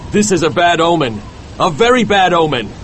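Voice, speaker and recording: Desperate first mate, acted by a male reader: urgent, high-pitched, nervous pleas in a sea adventure story. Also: lively intonation, brisk, fairly bright tone, slight background noise. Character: frightened, pleading, desperate ship's first mate.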